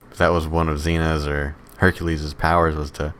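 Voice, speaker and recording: Man, low voice, podcast co-host, casual film chat, slangy, sweary. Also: flat delivery, brisk, fairly bright tone. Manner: profane, slangy. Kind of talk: casual chat about films.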